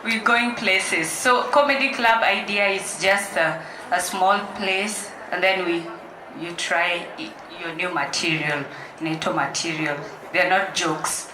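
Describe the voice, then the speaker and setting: A woman's voice: lowish voice, a little slow, reverberant, background noise. A Kenyan woman comedian joking with a live crowd and plugging her comedy night, switching between Swahili and English. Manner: joking, self-mocking, mixing Swahili and English. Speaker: comedian and poet. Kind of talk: stand-up banter and event promotion. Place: large hall with live audience.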